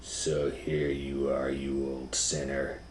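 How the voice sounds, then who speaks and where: A man voicing a watchful village huntsman in a children's story, muttering deliberately to himself. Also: rumbling low, completely flat delivery, roomy sound, a little hiss or hum. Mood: grimly satisfied. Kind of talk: children's storybook read-aloud.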